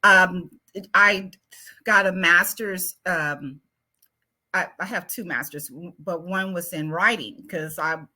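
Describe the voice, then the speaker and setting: A woman's voice: on the low side, slow, sharp tone. A woman writer answering interview questions, reflective and a bit self-doubting, with frequent fillers and light laughs. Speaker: award-winning writer, guest.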